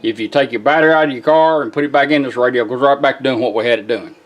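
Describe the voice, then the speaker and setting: A man's voice: medium pitch, racing. A man giving a casual hands-on product demonstration in informal, folksy phrasing. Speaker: product demonstrator, car radio builder.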